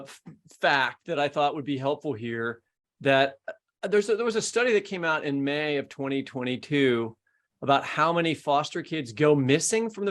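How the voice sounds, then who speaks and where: A man's voice: on the high side, a bit bright. An American man co-hosting a podcast, chatting informally and with an inquisitive manner. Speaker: podcast co-host. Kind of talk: casual panel discussion.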